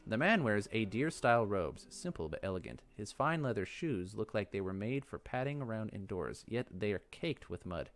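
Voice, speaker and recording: A man with a fairly low voice doing casual let's play commentary and reading game text aloud. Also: very animated, moderate pace, few pauses.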